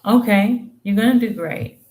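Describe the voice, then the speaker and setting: A woman's voice: medium pitch, normal speed, dark tone. A woman giving live tarot readings in a candid, slangy, chatty way, thinking aloud with hums and trailing phrases. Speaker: tarot reader. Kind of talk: casual live-stream reading and chat.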